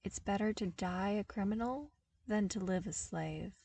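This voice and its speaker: A woman voicing an earnest, wry young man from a harsh desert world in a story reading, explaining with animated emphasis. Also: medium pitch, moderate pace, creaky, slightly rough, no echo. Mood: hard, serious.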